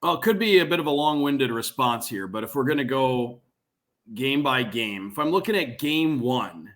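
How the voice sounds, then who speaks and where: A man with a bright, forceful voice at a middling pitch talks sports as a podcast guest. He sounds like a radio play-by-play announcer giving conversational analysis. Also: expressive, normal speed.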